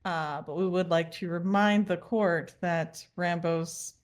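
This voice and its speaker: A young woman playing a prosecutor, giving a measured, formal closing argument in a courtroom roleplay. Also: lowish voice, steady tone, crowd noise.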